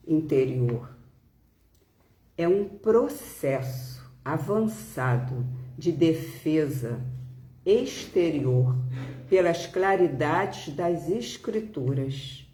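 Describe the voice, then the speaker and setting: Brazilian female devotional speaker, mellow, extremely unhurried delivery with pauses, reading and reflecting. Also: deep voice, reverberant. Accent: Brazilian Portuguese. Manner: deliberate, halting phrases with pauses. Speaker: religious speaker leading a spiritist devotional talk. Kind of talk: devotional talk with reading aloud.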